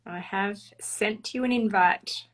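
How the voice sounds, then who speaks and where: A woman's voice: medium pitch, takes it slowly, a bit bright, low-quality recording. A woman, a fertility doctor, hosting a casual live-stream chat in a chatty, upbeat way with frequent laughs.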